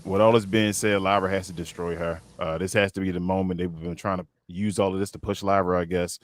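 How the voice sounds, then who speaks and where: Deep voiced guy, laid back rambling takes, dry sound. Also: steady tone, a little fast, dry recording. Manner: laid-back, rambling, many fillers and restarts. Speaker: podcast co-host. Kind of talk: casual panel chat.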